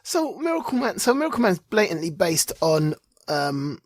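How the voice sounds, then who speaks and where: A man's voice: high-pitched, very animated, moderate pace, no echo, studio quality. A man hosting a podcast in casual chat, enthusiastic and rambling as he searches aloud for his words. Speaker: podcast host. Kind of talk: casual chat about comics.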